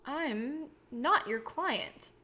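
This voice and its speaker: A woman playing an idealistic filmmaker of thirty in a staged play reading. Her voice is high, and she speaks casually, with polite wariness and a wry edge. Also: expressive, drawn-out words, bright, forceful tone, heavily acted.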